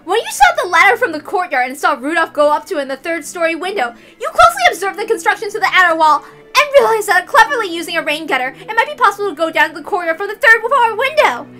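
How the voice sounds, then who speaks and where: Casting: a manic, smug young lady sleuth, shrill, wildly swooping, frantic and loud. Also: very animated, quick pace, faint music behind, exaggerated voice. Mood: aggressive, accusing. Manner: frantic, shouting, cackling outbursts. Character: unhinged, shrieking, smug young woman detective, desperately boastful.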